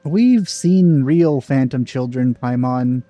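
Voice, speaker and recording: A man's voice: medium pitch, slow pace, warm tone. A man giving casual let's play commentary in a dry, sceptical manner. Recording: game music behind the voice.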